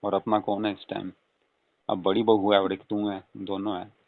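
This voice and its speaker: A man's voice: on the low side, completely flat delivery, normal speed, no room sound, lightly acted. A man playing a flirtatious, coaxing father-in-law in a casual, intimate phone-call role-play, murmuring and adding questioning hums. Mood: persuasive. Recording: tinny phone-line call recording.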